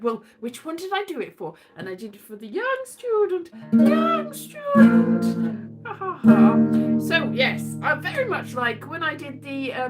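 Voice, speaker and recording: A British woman hosting a casual livestream, rambling in a whimsical, theatrical way. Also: high voice. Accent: British English. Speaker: livestream host, voice actor. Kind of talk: rambling casual livestream chat with bits of singing.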